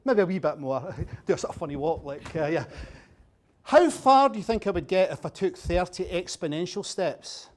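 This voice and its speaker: High-voiced Scottish man joking with a crowd. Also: sing-song, moderate pace. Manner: chatty, jokey, quizzing the audience. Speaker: keynote speaker. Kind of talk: humorous after-dinner talk. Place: dinner venue with a bar.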